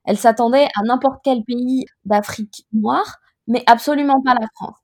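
A woman in her thirties from Côte d'Ivoire, recounting her own experience as an interview guest in a reflective, measured way with frequent hesitations. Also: on the high side, a little mellow. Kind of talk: personal testimony in an interview.

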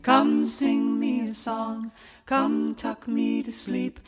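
A woman's voice: fairly high pitch, steady tone, takes it slowly, loud music behind the voice. A woman singing a folk song in the manner of a lullaby. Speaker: singer.

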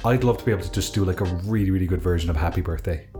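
A man with a deep voice co-hosting a casual podcast, reading listener emails with dry, deadpan jokes. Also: racing, music in the background. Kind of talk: casual chat.

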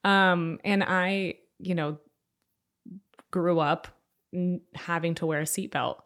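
A young woman from Ukraine talks as a guest in a relaxed interview, lower-pitched than most women. Her speech is chatty and full of "you know" and "like", broken up by easy laughter. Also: slow. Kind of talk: casual conversational interview.

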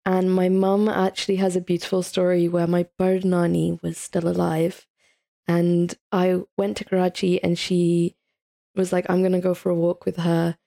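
A woman's voice: fairly low pitch, level tone, normal speed, vocal fry, mellow. A woman in her early twenties with a British accent, chatting informally and telling stories as a podcast guest. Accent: British English. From England, raised partly in Italy and Saudi Arabia. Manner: chatty, informal, often says "like". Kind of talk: casual conversational storytelling.

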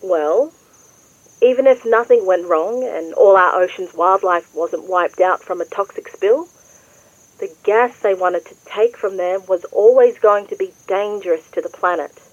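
An actress playing a patient, earnest adult Australian daughter in an audio drama, explaining things clearly. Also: female voice, medium pitch, unhurried, no echo, muffled recording, lightly acted. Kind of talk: naturalistic audio drama dialogue.

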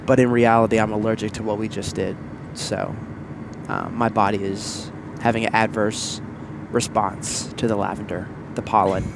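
A man co-hosting a casual couple's podcast, chatting in a relaxed, playful way, with sniffles from allergies. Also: moderate pace, gravelly, noisy background. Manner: laid-back, playful, jumps up in pitch when excited. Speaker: podcast co-host.

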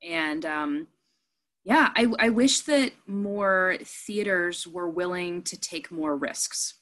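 A woman's voice: on the low side, slow pace, a bit bright. A woman in her thirties hosts a loose, friendly conversation. She talks in a chatty, enthusiastic way, often trailing into long lists of examples and restarting her sentences. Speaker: interviewer, fellow actor. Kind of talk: casual conversational interview.

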